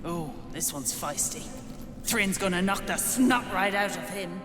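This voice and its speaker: An actor playing a thuggish slaver guard in a video game, coarse and mocking. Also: male voice, high-pitched, animated, a little slow. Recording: echoing space with music.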